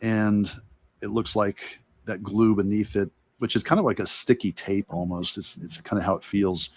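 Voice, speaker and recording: Low-voiced man demonstrating painting. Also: steady tone, normal speed. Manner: thinking aloud in loose, broken asides while working. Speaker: illustrator giving a live painting demonstration. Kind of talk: casual instructional talk while working.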